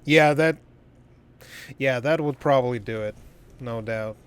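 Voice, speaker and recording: A man's voice: medium pitch, a little slow, a bit bright, smooth. A man livestreaming a game and chatting casually, in a blunt, joking and profane way. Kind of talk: casual livestream chat while gaming.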